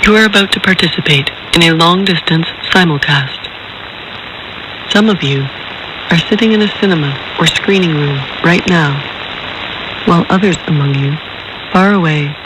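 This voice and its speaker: Man, scripted narration, slightly high voice, measured and explanatory, hissy shortwave reception. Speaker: narrator introducing a documentary.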